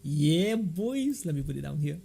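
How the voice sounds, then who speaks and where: Malaysian man, livestream host, relaxed mellow tone, animated joking delivery, casual storytelling chat. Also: on the high side, a little slow, vocal fry, slightly rough. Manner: animated, jokey, uses Malaysian slang particles.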